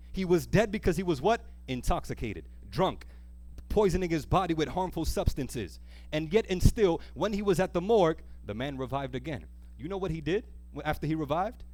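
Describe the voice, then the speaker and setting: A man's voice: on the high side, darkish tone. A man preaching to a congregation, earnest and emphatic, repeating key phrases and prompting listeners with questions. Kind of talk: church sermon with call-and-response questions to the congregation. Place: speaking live to a church congregation.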